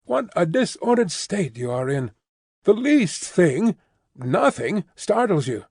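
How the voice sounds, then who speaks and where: A man voicing a gentle older doctor and grandfather who speaks in a calm, soothing, measured way. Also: high voice, breathy edge, no room sound, studio quality, voice pushed up, exaggerated voice.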